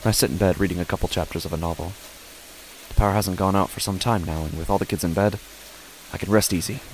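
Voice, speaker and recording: Deep, gravelly, brooding father acted by a man. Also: fairly even intonation, fast talker, slight background noise, poor audio. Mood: brooding, uneasy. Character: uneasy, brooding father narrating his own horror story. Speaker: narrator and lead character of a horror audio drama. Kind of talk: first-person horror audio drama narration.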